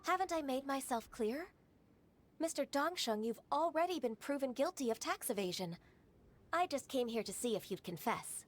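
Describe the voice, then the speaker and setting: A woman voicing a composed, formal female secretary in a video game, polite and measured, over game music. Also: high voice, animated.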